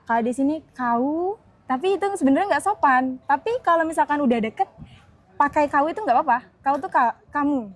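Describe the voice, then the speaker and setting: Woman host, high voice, friendly curious questions, talks fast. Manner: friendly, inquisitive, quick. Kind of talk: casual interview. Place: outdoor festival.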